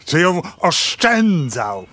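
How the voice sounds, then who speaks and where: An actor playing a jovial, tactless count in a theatrical verse radio drama, mocking and pompous. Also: male voice, fairly high pitch, big pitch swings, drawn-out words, a bit bright, heavily acted. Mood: teasing, amused. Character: jovial, teasing, tactless old-world count.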